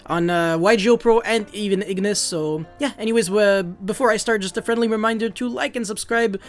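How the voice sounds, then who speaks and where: A man's voice: high-pitched, fairly quick, faint music behind. A young man whose first language is French, casually walking through a card deck at home, rambling and correcting himself as he goes. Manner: rambling, self-correcting, laughs at own slips. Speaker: card game youtuber. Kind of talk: casual deck profile walkthrough.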